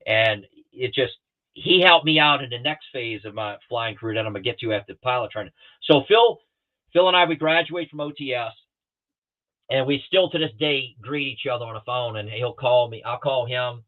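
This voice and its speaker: A man telling rambling, animated pilot stories on a talk show, with many restarts and acted-out quotes, heard over a remote call. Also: mid-range voice, moderate pace. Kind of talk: casual storytelling on a talk show. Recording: tinny phone-like line.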